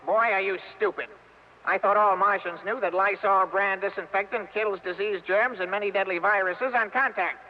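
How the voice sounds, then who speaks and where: An actor playing a bossy, exasperated Martian in a comic 1950s radio commercial. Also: male voice, high-pitched, fairly even intonation, moderate pace, close to an everyday voice. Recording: muffled, hissy radio with long reverb.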